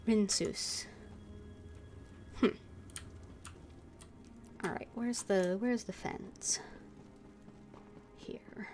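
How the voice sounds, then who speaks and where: Casting: female game streamer, mid-pitched, muttering commentary to herself, faint music underneath. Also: steady tone, extremely slow, long pauses, a little mellow. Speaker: streamer playing a fantasy role-playing game. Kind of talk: gaming commentary with in-character reading aloud.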